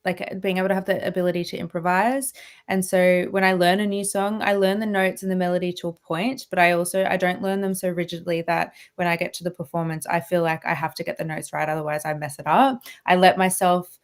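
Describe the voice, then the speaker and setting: A woman in her thirties chats as a radio guest, her voice sitting a little low. She talks loosely, circling back on her words and laughing often. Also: level tone, a little fast. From Australia. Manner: chatty, laughs often, many restarts and fillers. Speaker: guest violinist. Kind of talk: casual radio interview.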